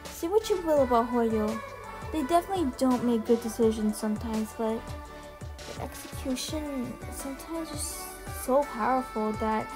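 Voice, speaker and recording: A woman's voice: fairly high pitch, moderate pace, rough recording. A woman giving casual video commentary as she presents a ranking, gushing and emphatic, with casual swearing. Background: music under the voice.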